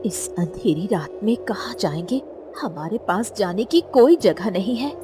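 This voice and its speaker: A woman's voice: medium pitch, animated, unhurried, noisy background, lightly acted. A woman voicing a distressed, imploring poor village mother in a Hindi cartoon story, with a plaintive manner. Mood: anxious. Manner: pleading, plaintive.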